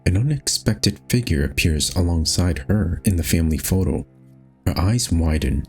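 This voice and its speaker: Deep-voiced man narrating a game. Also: lively intonation, normal speed, darkish tone. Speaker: narrator reading a game aloud. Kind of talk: scripted narration. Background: faint music.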